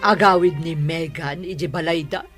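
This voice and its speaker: A woman's voice: deep voice, fairly even intonation, moderate pace, music in the background, lightly acted. A woman playing a scornful, suspicious middle-aged mother-in-law in an Ilocano radio drama.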